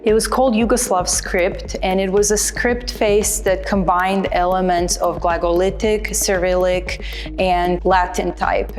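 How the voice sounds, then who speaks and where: A woman's voice: fairly low pitch, steady tone, measured pace, faint music behind. A woman from Croatia, an artist speaking thoughtfully and earnestly about her printmaking in a documentary interview. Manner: thoughtful, earnest, explains with care.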